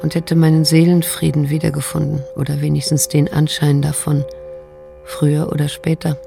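An actress playing a troubled middle-aged daughter in a radio drama, narrating her inner thoughts in a hushed, brooding, bitter way. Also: low-pitched, level tone, moderate pace, gravelly, music in the background. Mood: haunted, brooding.

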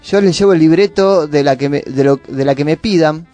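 A man's voice: on the high side, brisk, warm tone, soft background music. A man with an Argentine accent co-hosting a community radio show, reading listener messages aloud. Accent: Argentine Spanish. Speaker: radio co-host. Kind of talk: casual community radio chat. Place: radio studio.

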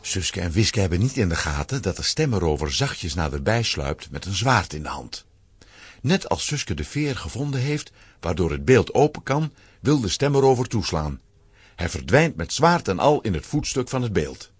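A male narrator telling a children's adventure tale with a Flemish accent. His lowish, husky voice moves with a wide, expressive range. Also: moderate pace, dry recording. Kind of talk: scripted storytelling narration for a children's audio drama.

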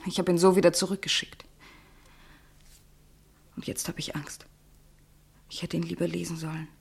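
A woman's voice: lowish voice, little pitch movement, normal speed, vocal fry, husky, exaggerated voice. An actress playing a troubled, fearful woman who speaks in a subdued, confiding way in a German radio play. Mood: anxious, subdued.

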